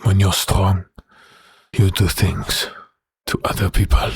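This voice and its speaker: A huge, menacing werewolf, low-voiced and heavily husky. He talks slowly and haltingly, with wounded brooding, as in a dramatic audio play, recorded close and dry. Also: flat delivery, no echo, exaggerated voice. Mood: brooding confession. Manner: slow, halting, deliberate delivery.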